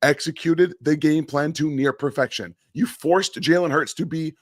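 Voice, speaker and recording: A man's voice: a little high, normal speed, dry recording, studio quality. A man co-hosting a sports analysis show while sick and losing his voice, blunt and repeating his key points. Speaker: co-host analyst. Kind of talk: post-game sports analysis.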